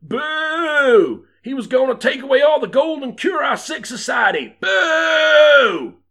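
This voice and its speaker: A man voicing excitable Western townsfolk in a strained, high shouting voice. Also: slow pace, gravelly, exaggerated voice. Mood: outraged. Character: indignant crowd of Western townsfolk, loud and excitable.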